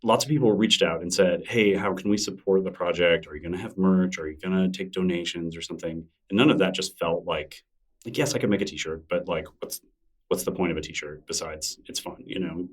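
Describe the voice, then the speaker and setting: A man with a very low voice talks in an easy, enthusiastic way during an interview, often breaking into laughter. He sits a little back from the microphone. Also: fairly even intonation, brisk, recorded from a distance. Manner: chatty, enthusiastic, laughs easily. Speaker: podcast guest, newsletter creator. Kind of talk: casual interview conversation.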